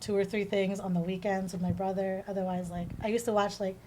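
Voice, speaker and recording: A woman's voice: mid-range voice, level tone, fairly quick, a little mellow, a little hiss or hum. A woman from the Philippines chatting informally as she answers questions as a guest on a casual podcast.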